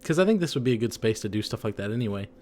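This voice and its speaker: A man's voice: mid-range voice, big pitch swings, racing, crowd noise. A young man co-hosting a podcast in casual chat, a bit tipsy from drinks, rambling with lots of fillers. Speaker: podcast co-host.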